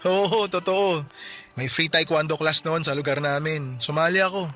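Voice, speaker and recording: A man's voice: fairly high pitch, moderate pace, bright, forceful tone. A man playing a modest, caring young suitor chatting casually in a radio drama. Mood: relaxed, teasing. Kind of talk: audio drama dialogue. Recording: light music bed behind.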